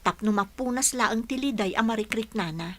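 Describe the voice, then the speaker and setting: A woman's voice: on the high side, moderate pace, fairly bright tone, natural voice. A woman acting a sympathetic young student teacher chatting with her friend in an Ilocano radio drama, with an Ilocano accent.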